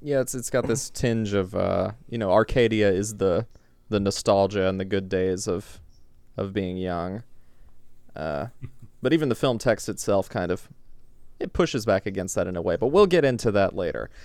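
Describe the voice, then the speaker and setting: A man with a low, smooth and resonant voice, hosting a podcast. He talks in an orderly, steady way, setting up the discussion. The sound is close and dry, with no room behind it. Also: moderate pace, no room sound. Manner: steady, orderly, introducing the topic. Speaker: podcast host. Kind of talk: podcast chat and episode introduction.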